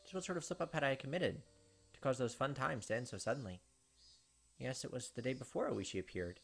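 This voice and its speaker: A man's voice: mid-range voice, brisk, gravelly, faint music behind. A man hosting a let's play, chatting casually and reading the game's story text aloud.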